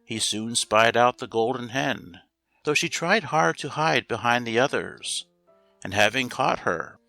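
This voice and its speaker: A man narrating a scripted fairy tale as a storyteller, reading aloud in a measured, expressive way. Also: medium pitch, vocal fry. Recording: roomy echo, faint music behind.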